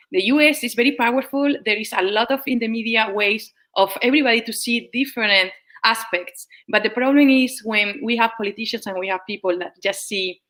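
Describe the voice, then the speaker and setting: A woman with a bright, crisp voice speaks as a panel guest over a video call. She talks haltingly, often restarting as she searches for the right word. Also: fairly high pitch. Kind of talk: casual panel chat.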